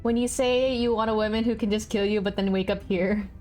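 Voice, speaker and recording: A woman's voice: mid-range voice, fairly even intonation, brisk, a little mellow, faint music behind. A woman streaming a video game, commenting casually and reading dialogue choices aloud.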